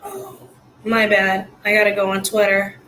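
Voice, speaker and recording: A hoarse woman giving casual, blunt live reaction commentary full of slang. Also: medium pitch, very animated, unhurried, bright, forceful tone, a little hiss or hum, rough recording. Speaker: livestream commentator.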